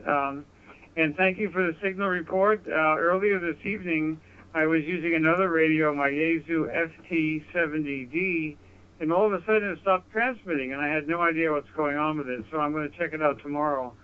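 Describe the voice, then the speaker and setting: A man with an American accent talking over amateur radio in a polite, measured, conversational way. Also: on the high side, clean tone. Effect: radio transmission. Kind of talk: ham radio contact, conversational. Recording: narrow, phone-like handheld radio audio.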